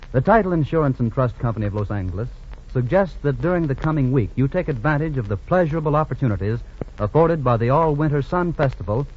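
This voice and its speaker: A man working as a radio announcer, reading a script in a formal, measured 1940s broadcast style. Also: medium pitch, highs cut off, a little hiss or hum.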